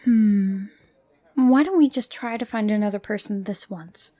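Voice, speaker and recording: A woman playing a calm, reasonable adventurer in a fantasy audio drama, measured and diplomatic. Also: mid-range voice, no room sound, lightly acted. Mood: persuasive. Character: calm, reasonable female adventurer organising the party.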